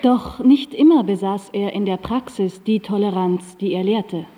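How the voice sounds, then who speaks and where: A female narrator of a scripted radio drama. Her voice is dark and relaxed, at an average pitch. She gives the facts with composure, in a sound with long hall reverb. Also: measured pace.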